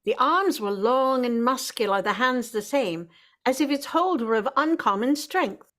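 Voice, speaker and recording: A woman's voice: a little high, expressive, measured pace, fairly bright tone. A woman working as narrator and announcer, reading a script for a radio drama broadcast.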